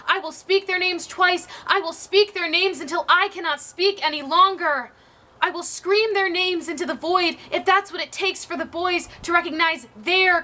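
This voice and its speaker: A woman's voice: extremely high pitch, pushed and bright. A woman performing spoken-word slam poetry in an emphatic, rhythmic, declamatory way. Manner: emphatic, rhythmic, declamatory delivery with rhetorical questions. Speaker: slam poet.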